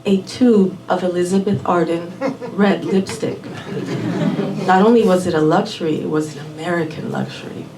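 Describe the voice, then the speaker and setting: A woman raised in Miami telling a personal story live at a small venue, unhurried and measured, dropping in Spanish words. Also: lowish voice, noisy background. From born in Puerto Rico, raised in Miami. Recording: roomy venue audio from a distant mic.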